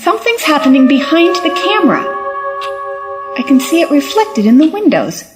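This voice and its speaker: Woman playing sharp determined female sleuth, high voice, brisk audio drama delivery, music behind. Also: sing-song. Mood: focused, curious. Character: sharp, determined, confident young woman investigator.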